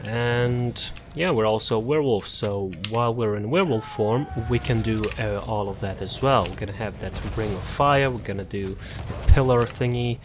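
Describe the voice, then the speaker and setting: A man casually walking through a game character build, relaxed and rambling, with frequent fillers and restarts. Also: on the low side, moderate pace, few pauses, background noise. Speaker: gaming content creator. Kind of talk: casual build showcase commentary.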